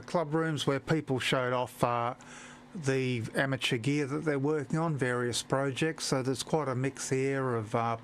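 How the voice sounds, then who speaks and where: A man's voice: medium pitch, a little hiss or hum. A man who operates amateur radio, talking off the cuff on a live stream and hesitating often with fillers and restarts. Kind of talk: unscripted live-stream commentary.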